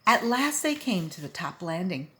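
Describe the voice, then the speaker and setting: A woman reading a children's story aloud in an expressive, emphatic storytelling manner. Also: fairly low pitch, normal speed. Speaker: volunteer story reader.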